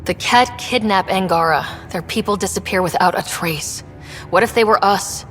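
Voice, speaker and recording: A woman's voice: mid-range voice, moderate pace, fairly bright tone, faint music behind, natural voice. Woman playing an earnest expedition leader, reverberant space. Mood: businesslike. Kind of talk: scripted video game acting.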